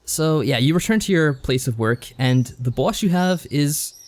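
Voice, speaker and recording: A man's voice: fairly high pitch, expressive, moderate pace. A man co-hosting a podcast, recapping a story in casual chat with a rambling, wry delivery. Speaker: podcast co-host.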